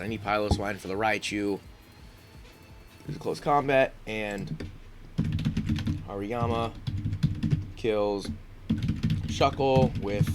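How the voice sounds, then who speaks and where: Congested man thinking aloud over a game. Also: fairly low pitch. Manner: thinks aloud, frequent ums and restarts. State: congested, sniffling. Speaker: gaming YouTuber. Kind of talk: casual gameplay commentary.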